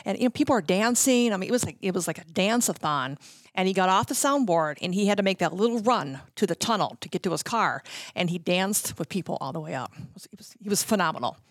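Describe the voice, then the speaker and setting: A middle-aged American woman chats casually through her memories. She speaks in a halting, chatty way, letting thoughts trail off, at a medium pitch. The sound is dry, with very little room in it. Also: expressive, no room sound. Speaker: guest recounting concert backstage stories. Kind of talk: casual reminiscing chat.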